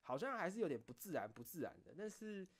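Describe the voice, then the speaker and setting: A man chatting casually on his own as a streamer, rambling through stories in an animated, self-deprecating way. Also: sits high, fast. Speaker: streamer and video creator. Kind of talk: casual solo chat, recounting behind-the-scenes stories.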